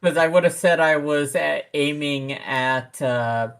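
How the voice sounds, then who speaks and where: Someone playing an rpg, talks pretty slow, medium pitch, casual. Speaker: tabletop game player. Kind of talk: casual game chat.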